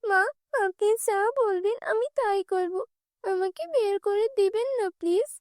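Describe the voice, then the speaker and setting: A gentle, mistreated young girl from a cartoon fairy tale , acted out by a woman. Her voice is extremely high and her manner meek and pleading. The sound is dry, with no room on it. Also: unhurried, no room sound.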